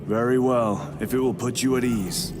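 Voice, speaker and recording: An actor playing a stoic, seasoned space soldier in a video game, formal, terse and grave. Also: male voice, medium pitch, moderate pace, noisy background, lightly acted. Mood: restrained, resolute. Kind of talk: dramatic video game acting.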